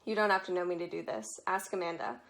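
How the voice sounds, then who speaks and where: A woman's voice: fairly low pitch, steady tone, fast talker, a bit bright. A young woman playing a frank, wry teenage girl in an amateur drama, with a direct, dry delivery. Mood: gentle, wry. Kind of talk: amateur stage drama monologue.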